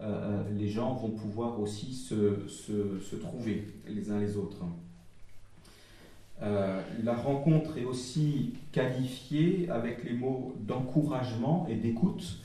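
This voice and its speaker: A man speaking at a church gathering, summarizing group feedback and reading out notes in a halting way, with frequent pauses and restarts. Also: on the low side, warm tone. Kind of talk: public talk, reading out notes. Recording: very echoey hall, voice from far off the mic.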